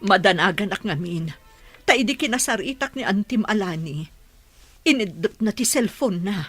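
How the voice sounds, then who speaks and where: A woman's voice: medium pitch, animated, normal speed, a bit bright, breathy edge, no room sound, soft background music. A woman acting a worried, pleading mother who longs for her son in an Ilocano radio drama.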